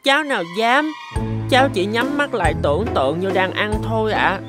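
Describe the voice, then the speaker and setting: A woman's voice: medium pitch, normal speed, over music, natural voice. Woman voicing a meek little cartoon boy. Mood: pleading, frightened. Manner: meek, pleading. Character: timid, innocent poor little boy. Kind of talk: animated folk-tale cartoon dub.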